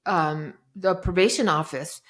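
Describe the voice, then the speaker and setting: A middle-aged woman, a life coach guest on a podcast, telling her story in a lively, dramatic way. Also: fairly low pitch, unhurried. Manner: animated, dramatic retelling with acted-out quotes. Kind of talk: casual interview storytelling.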